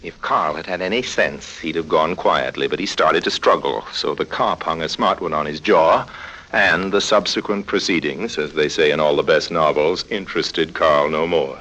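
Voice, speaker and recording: A world-weary American rogue in an old radio crime drama. His voice is low and smooth-talking, with wry humour, on a vintage radio recording. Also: normal speed, pushed and bright, full character voice, low-quality recording. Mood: wry storytelling. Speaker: lead actor and first-person narrator. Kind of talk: 1950s radio drama acting and narration.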